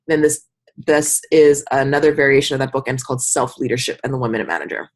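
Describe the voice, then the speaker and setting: A woman with a low, husky voice leads an informal group coaching call. She speaks conversationally as a mentor, offering encouragement and slipping into short imagined examples of what people might say. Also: fast. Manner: conversational, encouraging, often acting out example remarks in the listener's voice.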